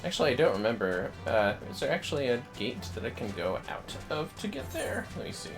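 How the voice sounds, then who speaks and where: A man's voice: mid-range voice, big pitch swings, fairly quick, gravelly. A man livestreaming a video game, rambling casually and half talking to himself and his viewers. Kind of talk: casual gaming livestream chat, muttering to self and viewers. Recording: game music behind the voice. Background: video game music.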